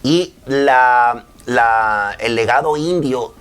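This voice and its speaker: A man co-hosting a casual panel podcast in a relaxed way, with frequent agreeing interjections. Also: medium pitch, extremely slow, smooth. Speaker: podcast co-host.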